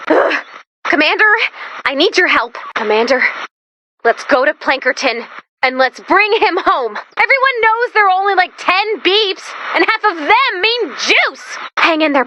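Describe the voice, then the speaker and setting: Bright, high-pitched, neurotic robot sidekick. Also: female voice, exaggerated voice, poor audio. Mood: exasperated, then earnest, worried. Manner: perky, neurotic, self-deprecating, dramatic. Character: excitable, anxious, sarcastic female robot helper. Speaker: video game mission-giving sidekick. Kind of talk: animated video game voice acting.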